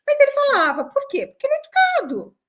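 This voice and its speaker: Female co-host, high and animated, firm opinionated talker. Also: fast talker. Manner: emphatic, opinionated, animated storytelling. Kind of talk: casual chat.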